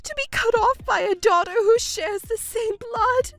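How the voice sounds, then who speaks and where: A very high, animated voice wails and protests as a selfish middle-aged mother acted out by a woman. She is whiny, indignant and self-pitying, delivered as melodramatic family drama over faint music. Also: normal speed. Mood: wailing despair. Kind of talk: scripted family drama narration.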